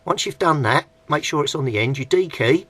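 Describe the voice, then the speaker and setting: A man's voice: fairly high pitch, animated, brisk, fairly bright tone. A middle-aged British man giving an informal, plain-spoken hands-on demonstration as a hobbyist. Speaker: CB radio hobbyist presenting a tutorial. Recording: clearly noisy amateur home recording.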